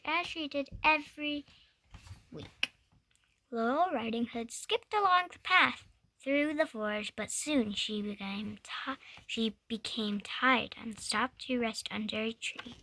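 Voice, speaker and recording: Young girl storybook reader, high voice, unhurried, stumbles and self-corrects, playful asides. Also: pushed and bright. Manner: stumbling over words and correcting herself. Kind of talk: reading a picture book aloud with playful asides.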